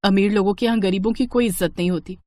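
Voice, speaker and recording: A humble poor sister in a moral cartoon, voiced by a woman. She speaks politely and earnestly at a medium pitch, with a dry close sound. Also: quick pace, mellow, dry recording, natural voice. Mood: hurt. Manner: polite, plain-spoken.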